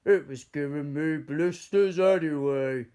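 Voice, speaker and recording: Guy as a proud friendly giant, loud hearty slow talker from a kids book. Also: on the high side, clean tone, voice pushed up, close to an everyday voice. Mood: cheery. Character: kindly, proud, scruffy-turned-smart male giant.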